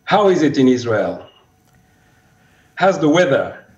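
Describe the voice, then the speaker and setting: A man's voice: fairly high pitch, very animated, unhurried, darkish tone, far from the mic. A man hosting an interview podcast, introducing his guest and asking conversational questions. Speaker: podcast host. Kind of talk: interview host. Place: room in Melbourne. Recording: dull roomy audio from off-mic.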